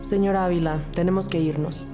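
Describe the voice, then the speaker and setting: A woman's voice: fairly low pitch, steady tone, a little fast, over music, lightly acted. An actress playing a composed police detective who narrates her case in a scripted crime audio drama. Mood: composed, serious.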